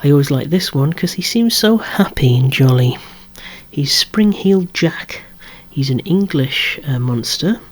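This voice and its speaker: A person whispering an ASMR show-and-tell of a collection, musing along in a hushed voice with gently wry asides. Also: mid-range voice, expressive, unhurried. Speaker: presenter of a toy collection.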